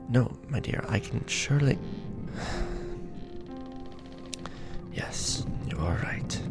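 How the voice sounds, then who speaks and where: A man voicing a weary, tender aristocratic vampire lord in an ASMR romance roleplay, murmuring softly and formally, close to the microphone. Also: lowish voice, exaggerated voice. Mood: hesitant, soothing. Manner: soft, breathy murmuring, formal archaic phrasing. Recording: background music bed.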